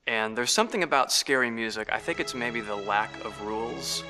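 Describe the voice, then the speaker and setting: A man's voice: medium pitch, expressive, fairly quick. A man speaking as a composer in an interview about his own work. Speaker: film and game composer. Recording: music playing behind the voice. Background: background music.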